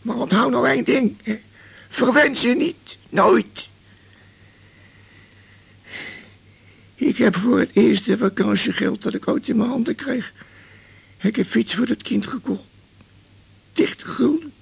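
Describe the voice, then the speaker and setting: An actor playing a bitter, stubborn man in his eighties, weary of life, in a radio drama, gravelly and husky, stammering and repeating his words. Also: very high voice, unhurried, heavily acted. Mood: reproachful. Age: 80s. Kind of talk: naturalistic radio drama acting.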